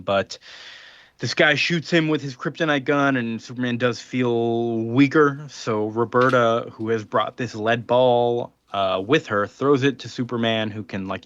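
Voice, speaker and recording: A man's voice: mid-range voice, fairly bright tone, no echo, highs cut off. Man casually recapping a comic plot. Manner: rambling plot recap full of fillers. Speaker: podcast co-host. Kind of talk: casual podcast chat.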